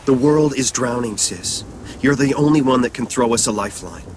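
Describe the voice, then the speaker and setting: A man's voice: medium pitch, animated, moderate pace, a little hiss or hum, natural voice. An actor playing a driven male rebel leader in a video game, giving orders in dramatic, cryptic, poetic phrases. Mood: solemn, persuasive. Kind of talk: video game voice acting, mission briefing.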